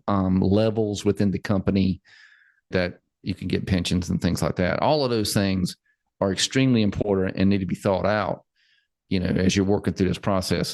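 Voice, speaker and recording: A man in his forties with a very low, gravelly voice, talking easily as a financial planner on a podcast. He repeats words and laughs easily, and the recording is close and dry. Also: a little mellow, dry recording. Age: 40s. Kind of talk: casual podcast chat.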